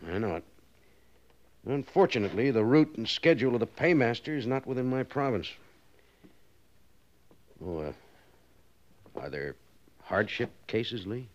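A man playing an exacting but fair American cavalry major in a 1950s radio western, speaking with brisk, formal authority. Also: mid-range voice, expressive, lightly acted. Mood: measured, concerned. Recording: muffled, noisy old 1950s radio recording.

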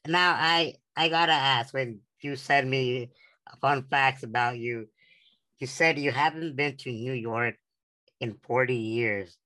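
A man's voice: medium pitch, takes it slowly, pushed and bright, rough recording. An eighteen-year-old man from San Diego hosting an interview, reading the introduction earnestly with restarts. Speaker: podcast host.

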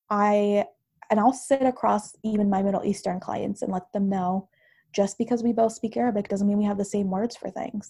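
A woman's voice: mid-range voice, gravelly, dark tone, breathy edge. A woman who is a counselor and university professor, explaining at length in a thoughtful, teacherly way during a casual podcast discussion.

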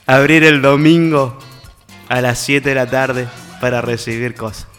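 Bright-voiced man hosting radio. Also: medium pitch, steady tone, unhurried, in a large hall, soft background music. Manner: laughing, self-mocking banter. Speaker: radio host. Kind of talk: casual radio chat reading listener messages.

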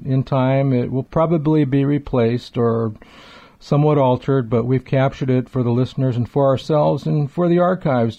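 A man co-hosting a radio show in a conversational, effusive, wordy way, with a mellow voice. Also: medium pitch, vocal fry, lo-fi sound.

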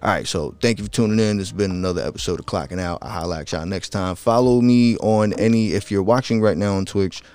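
A man streaming from home at night, talking in a rambling, easygoing way with lots of fillers, his voice a bit raspy. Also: low voice, fast talker, hardly any pauses, darkish tone, no echo. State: slightly raspy late at night. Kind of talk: casual solo livestream talk.

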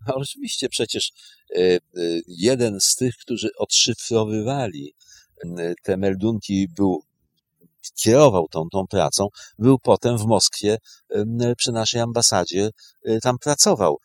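A man giving spontaneous historical commentary as a guest historian, halting as he searches for words. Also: on the low side, no echo, clean studio sound.

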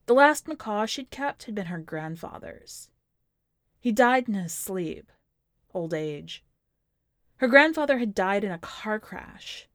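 A woman narrating literary fiction from a script, with measured, deliberate phrasing in short clipped sentences. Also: medium pitch, expressive, no echo, studio quality. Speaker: podcast host reading a short story.

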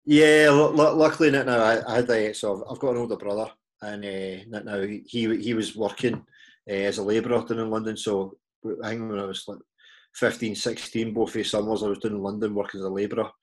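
Man, 40s, Glaswegian accent, lowish voice, halting, repeats words, casual podcast guest. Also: steady tone. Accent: Glaswegian Scottish. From Scotland. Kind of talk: casual interview chat.

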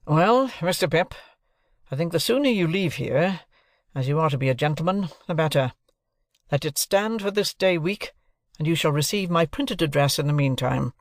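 Man playing brusque domineering lawyer, raised pitch. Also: animated, normal speed, no room sound, studio quality. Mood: brisk, peremptory. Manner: curt, commanding, insistent, formal. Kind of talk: audiobook character dialogue.

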